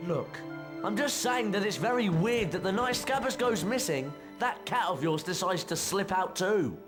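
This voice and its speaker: An actor playing an earnest, grumbling schoolboy wizard in a video game, boyish and excitable. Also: male voice, high voice, normal speed, long reverb tail. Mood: grumbling, urgent. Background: music.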